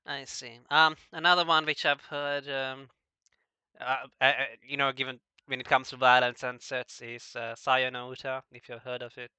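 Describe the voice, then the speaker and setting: A man with a mid-pitched, bright voice hosts a casual interview. He is crisp and dry on the recording, yet halting and unsure, often stammering into his sentences. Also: little pitch movement, no room sound. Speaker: interviewer, host.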